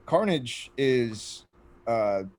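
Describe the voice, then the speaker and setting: A man in his late thirties, a guest artist who talks in a halting, hesitant way. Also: medium pitch, animated, darkish tone. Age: 30s. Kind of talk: casual podcast chat.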